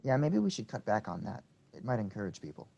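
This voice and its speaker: An actor playing an eager, deferential disciple who earnestly prods and flatters his boss in a comic play reading. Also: fairly low pitch, fast talker, creaky, lo-fi sound, full character voice. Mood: advising.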